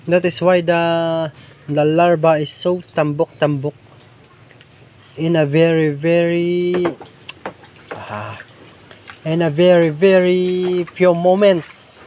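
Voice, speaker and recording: A man from the Philippines with a Hiligaynon-flavored Filipino accent and Hiligaynon as his first language, narrating over nature footage in English, halting and repeating words as he hunts for the right phrase. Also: a little high, steady tone, darkish tone, dull audio, a little hiss or hum. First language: Ilonggo (Hiligaynon). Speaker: vlogger filming larvae in a field.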